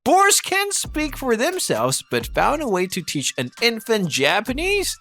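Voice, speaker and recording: A man's voice: very high voice, huge pitch swings, measured pace, sharp tone. A man narrating a scripted video essay, asking rhetorical questions with playful, incredulous emphasis. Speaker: narrator of an anime commentary video. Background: background music.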